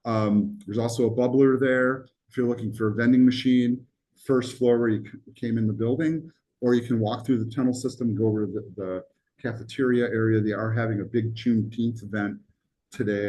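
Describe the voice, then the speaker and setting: A man's voice: mid-range voice, steady tone, a little fast, mellow, slight background noise, low-quality recording. A man who grew up speaking Greek teaches a college class in a classroom, talking casually with jokes, asides and frequent ums. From United States. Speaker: college instructor.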